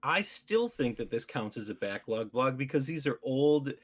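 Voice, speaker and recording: A man's voice: medium pitch, big pitch swings, normal speed. A man co-hosting a podcast, debating casually and insistently clarifying his points. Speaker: podcast co-host.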